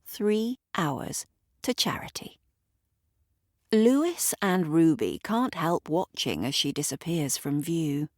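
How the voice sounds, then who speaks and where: A woman's voice: low-pitched, lively intonation, slow pace, darkish tone, dry recording, studio quality. A woman narrating an audio drama in the present tense, storytelling with chatty asides to the listener. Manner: conversational asides to the listener, acting out characters.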